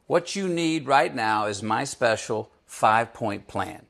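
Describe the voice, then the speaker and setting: Man playing a creaky, cocky teen hustler. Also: mid-range voice, animated, measured pace, fairly bright tone. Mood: cocky, persuasive. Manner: emphatic, showy, instructing. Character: cocky smooth-talking teenage boy, self-styled ladies' man. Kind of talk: comic screenplay table read.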